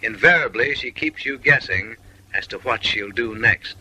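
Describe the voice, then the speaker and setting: Guy doing a wisecracking voice-over, lowish voice with a real sharp forceful edge, mock-posh and cheeky. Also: normal speed, low-quality recording. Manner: mock-refined, wry and suggestive, lecturing the ladies with teasing asides. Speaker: film narrator. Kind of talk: wisecracking comic voice-over narration.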